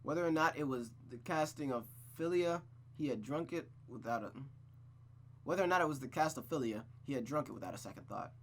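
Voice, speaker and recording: A man's voice: medium pitch, expressive, brisk, fairly bright tone. A man reading a visual novel aloud in a suspenseful storytelling style, with playful, casual asides.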